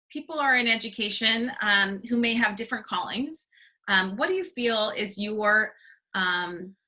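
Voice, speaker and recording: A woman hosts an interview in a very bright voice at a middle pitch. She is effusive and circles into her questions, restarting phrases as she goes. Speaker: interviewer, host. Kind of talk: conversational interview.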